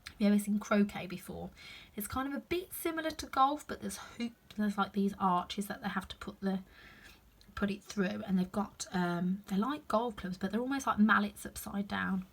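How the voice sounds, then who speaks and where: A woman's voice: mid-range voice, normal speed, gravelly. A woman reading a children's story aloud expressively, with chatty asides to the listener.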